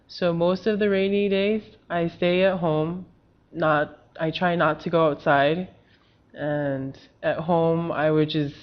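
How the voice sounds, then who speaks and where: A nineteen-year-old woman from Korea, a Korean speaker, answering questions in slow, careful English. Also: sits low, muffled recording. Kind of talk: unscripted answers for a learner video.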